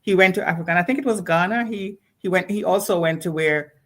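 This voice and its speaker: A woman co-hosting a casual discussion, speaking in a hesitant way with repeated words and halting phrases. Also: fairly low pitch, a bit bright. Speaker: co-host.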